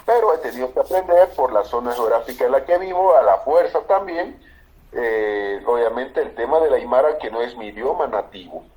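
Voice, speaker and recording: A man's voice: mid-range voice, expressive, moderate pace, darkish tone. A man from Bolivia, a lawyer, explaining constitutional law emphatically on a political talk show. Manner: emphatic, explanatory, repeats for stress.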